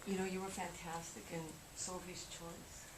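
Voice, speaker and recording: A woman in an audience, asking a question in a casual, slightly halting way. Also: lowish voice, little pitch movement. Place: audience at a public event. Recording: clear background noise in venue.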